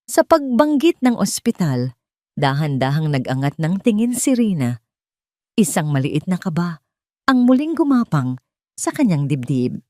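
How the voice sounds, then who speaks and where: Low-pitched Filipino woman narrating drama. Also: mellow, no room sound, clean studio sound. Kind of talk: scripted dramatic story narration.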